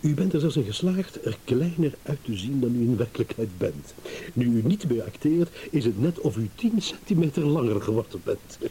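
An actor playing a shrewd, amused businessman in a radio drama, teasing and insinuating with hesitant pauses. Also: medium pitch, sing-song, mellow, lightly acted. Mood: amused, sly. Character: shrewd, amused businessman, a blackmail victim turning schemer. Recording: hissy old radio play recording.

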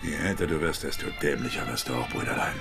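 An actor playing a crooked, foul-mouthed, scornful hustler with a gruff manner in a radio crime drama. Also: male voice, a bass voice, quick pace, over music. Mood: scornful, irritated.

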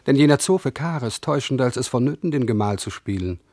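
An actor playing a bored, sardonic messenger god, wry and languid, in a classical verse radio drama. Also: male voice, mid-range voice, expressive, a little fast, darkish tone, no room sound. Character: bored, sardonic messenger god grumbling about his errand.

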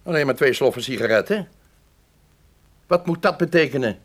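An actor playing a stern, suspicious, businesslike investigator in a radio play, on a noisy old recording. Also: male voice, medium pitch, animated, moderate pace, natural voice.

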